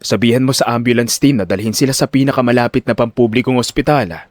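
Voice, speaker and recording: A man voicing an arrogant, two-faced hospital doctor, speaking in a hushed, measured, condescending way. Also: mid-range voice, fairly even intonation, dry recording, studio quality, lightly acted. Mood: feigned kindness.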